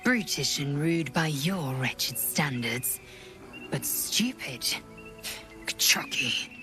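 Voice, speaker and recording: A woman's voice: low-pitched, lively intonation, slow pace, over music. An actress playing a fierce, proud githyanki warrior woman who speaks curtly and threatens openly. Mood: stern. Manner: curt, contemptuous, threatening.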